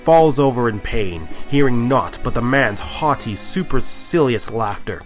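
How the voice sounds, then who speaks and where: A man's voice: medium pitch, animated, measured pace. A man reading a scripted narrative poem aloud in a theatrical storytelling manner. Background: music.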